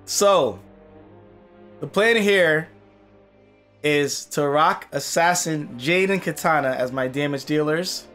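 A young man commentating on a mobile fighting game, excitable and losing his voice, seated at a home gaming setup. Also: fairly high pitch, slow pace, pauses often, soft background music. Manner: excitable, swings from muttering to yelling at the game. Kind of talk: casual gameplay commentary.

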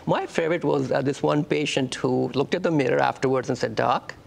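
A man's voice: mid-range voice, brisk, gravelly. A man in his fifties from Pakistan with a Pakistani accent, speaking conversationally as a surgeon guest in a TV studio interview. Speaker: facial plastic surgeon, guest. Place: TV studio talk-show set.

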